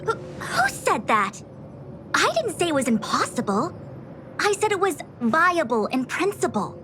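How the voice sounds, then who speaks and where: An actress playing a scholarly, precise diviner in a video game, speaking in a formal, didactic way. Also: female voice, sits high, huge pitch swings, measured pace, background noise, heavily acted. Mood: insistent. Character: brisk, scholarly female diviner, self-assured and precise. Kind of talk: scripted video game dialogue.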